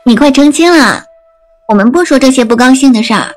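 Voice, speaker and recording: An actress playing a proud, emotive young maidservant in a Chinese costume audio drama. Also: female voice, high voice, animated, fairly quick, faint music behind. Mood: sad resignation. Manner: coy, mock-scolding, emotive. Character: young maidservant-companion woman, proud, teasing, resigned in love.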